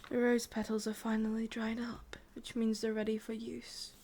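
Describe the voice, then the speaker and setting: A woman's voice: fairly high pitch, completely flat delivery, moderate pace, a little mellow, a little hiss or hum, close to an everyday voice. A woman with an English accent playing a possessive, sadistic ancient witch in a whispered ASMR roleplay, coaxing and controlling. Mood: possessive, teasing.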